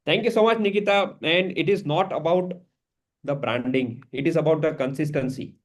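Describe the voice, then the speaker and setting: A man's voice: fairly high pitch, moderate pace. A man, hosting as a career coach and giving an earnest, emphatic motivational talk to his community. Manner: earnest, emphatic, switches into Hindi phrases.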